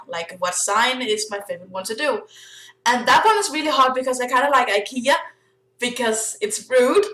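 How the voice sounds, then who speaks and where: A woman's voice: fairly high pitch, fairly bright tone. A young woman from Denmark with a Danish accent, chatting casually in a vlog with lots of fillers and giggles. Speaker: vlogger. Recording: echoey room.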